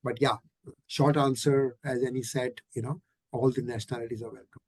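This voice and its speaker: A man's voice: medium pitch, steady tone, brisk, a little mellow, husky, dry recording. A man answering as a guest speaker in an online webinar, hesitant and full of fillers as he thinks aloud. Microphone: video call.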